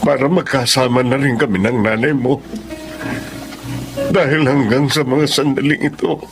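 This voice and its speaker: An actor playing a grieving, stubborn elderly widower in a Filipino radio drama, sorrowful and heavy-hearted. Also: male voice, medium pitch, steady tone, normal speed, natural voice. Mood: anguished. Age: older. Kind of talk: Filipino radio drama acting, narrating and in dialogue. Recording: loud music under the voice.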